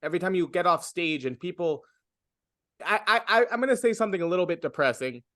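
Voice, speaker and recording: A man's voice: sits high, lively intonation, quick pace, a bit bright, no echo, clean studio sound. A young adult man, a comedian, talking reflectively in a casual interview, with lots of fillers and restarts.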